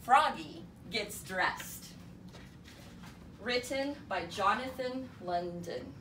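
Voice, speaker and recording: A woman reading a children's story aloud, deliberately, with playful emphasis on the sound words. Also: mid-range voice, animated, fairly bright tone, slight background noise. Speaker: storybook reader. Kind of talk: children's read-aloud narration.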